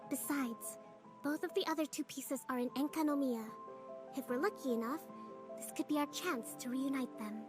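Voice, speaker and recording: A woman voicing a calm, composed young female island leader and strategist in a game cutscene, over game music. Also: high voice, moderate pace, natural voice. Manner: gentle, composed, thoughtful. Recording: game audio with loud music.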